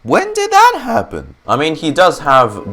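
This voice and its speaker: A man's voice: a little high, wildly swooping pitch, a little slow, fairly bright tone. A man doing casual, slangy, joking reaction commentary as a game streamer.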